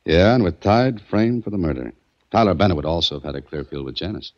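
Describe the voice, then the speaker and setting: A man's voice: sits low, lively intonation, fast. An actor playing a determined male detective who narrates his own case, in 1940s radio acting. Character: hard-boiled male detective, dry and determined. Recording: dull old radio recording.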